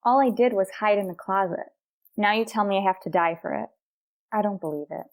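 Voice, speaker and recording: A young woman playing a frightened, wistful stowaway in a radio drama, halting, pleading and emotional. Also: medium pitch, lightly acted. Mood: disbelieving fear. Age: young adult.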